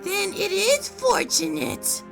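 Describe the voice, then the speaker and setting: Woman voicing a very high, creaky colt villain. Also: lively intonation, slow pace, heavily acted. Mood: gloating menace. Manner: theatrical, menacing. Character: diabolical, gloating male colt playing a sly stage villain. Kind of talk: theatrical fan fiction voice acting. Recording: music behind the voice.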